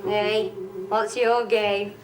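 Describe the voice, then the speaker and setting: An actress playing a blunt, sharp-tongued young working-class woman. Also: medium pitch, level tone, extremely slow, music in the background. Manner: blunt, dry wisecracks. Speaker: date. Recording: hissy old TV sitcom, roomy studio.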